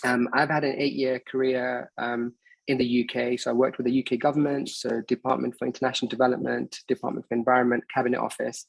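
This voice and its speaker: Man in his thirties, husky, hesitant. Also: mid-range voice, flat delivery, fast, no echo, poor audio. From Bangladesh, raised in England. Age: 30s. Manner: hesitant, many fillers and restarts. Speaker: host of an online meeting. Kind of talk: casual presentation on a video call.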